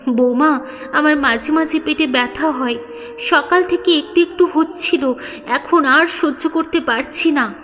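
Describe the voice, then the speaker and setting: A woman's voice: high voice, a little slow, fairly bright tone, pitched above the performer's own voice. A woman storyteller voicing a meek, frightened mother-in-law with a timid, plaintive manner. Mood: pained, fearful. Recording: long reverb, background music.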